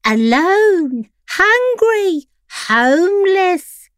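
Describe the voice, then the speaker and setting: A woman doing a pampered lady pet with a very high, lilting voice that swoops up and down. She talks down to everyone in a smug, condescending way, in a dry recording. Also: big pitch swings, extremely slow, no room sound, heavily acted. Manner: condescending, sing-song. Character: pampered prim female pet, smug and superior.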